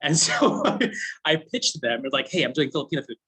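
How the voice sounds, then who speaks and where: A man's voice: on the high side, a little fast, pushed and bright, slightly rough. A man in his thirties, a food brand founder, telling his story to a class in a chatty way with frequent laughs and fillers. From United States. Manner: chatty, laughs often, many fillers like "you know". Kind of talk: casual storytelling talk.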